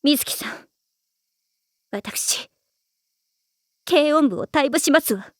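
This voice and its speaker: A woman's voice: high-pitched, lively intonation, takes it slowly, dry recording, studio quality. A woman voicing a proud, self-blaming teenage schoolgirl in an anime, with formal, refined ladylike speech. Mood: bitter, despondent. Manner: formal, refined ojou-sama way of speaking. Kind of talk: anime voice acting.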